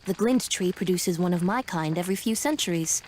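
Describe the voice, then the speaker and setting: A woman's voice: lowish voice, a little fast, darkish tone, slight background noise, close to an everyday voice. A woman voicing a composed, courteous, centuries-old genderless tree-born being in an anime video game, formal and articulate.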